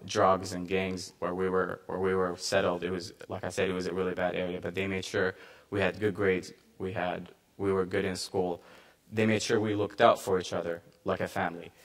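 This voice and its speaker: Young man, earnest halting testimony, lowish voice. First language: Arabic. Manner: earnest, halting with restarts and fillers. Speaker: panel speaker, refugee volunteer. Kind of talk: personal testimony at a conference.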